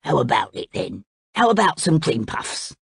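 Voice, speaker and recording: A woman's voice: very deep voice, sing-song, moderate pace, vocal fry, slightly rough, dry recording, studio quality, pitched below the performer's own voice, heavily acted. A woman growling as a sulky greedy crocodile. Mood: grumbling. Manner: blunt, grumbling, sulky.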